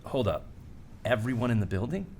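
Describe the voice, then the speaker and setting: An American actor playing a skeptical paranormal investigator who hosts a podcast, speaking in a casual, confiding, wry way. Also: male voice, mid-range voice, expressive, fairly quick, darkish tone, a little hiss or hum, close to an everyday voice. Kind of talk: scripted narration and field recording in audio drama.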